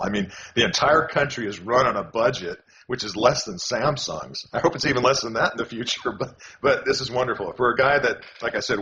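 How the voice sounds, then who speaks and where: An older man from California, a podcast guest, talking casually and haltingly with many restarts. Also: fairly low pitch, fairly bright tone. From California, USA. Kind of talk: casual interview answers. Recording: dull lo-fi call audio with faint noise.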